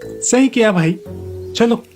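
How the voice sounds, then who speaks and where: A man's voice: very high voice, slow pace, darkish tone, faint music behind. Man acting an envious, falsely friendly village neighbour. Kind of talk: Hindi children's moral story cartoon dub.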